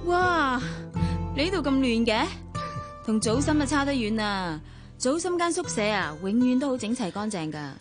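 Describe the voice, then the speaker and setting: A high, feminine Hong Kong Cantonese voice plays a spoiled, well-off woman in love. She is coquettish and teasing in conversation, and wistful and reflective when narrating. It is radio drama, with music clearly behind the voice. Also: very animated, normal speed.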